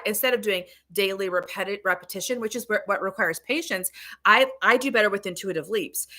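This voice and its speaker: Bright-voiced, chatty woman livestreamer. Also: mid-range voice. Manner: rambling, self-interrupting, chatty. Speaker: livestream host and tarot reader. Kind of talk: casual livestream chat, talking to viewers.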